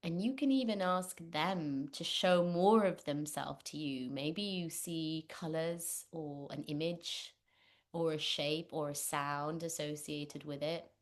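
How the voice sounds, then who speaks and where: A woman leading a guided meditation, speaking gently and unhurriedly with long pauses. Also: low-pitched. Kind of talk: guided meditation, spoken instruction.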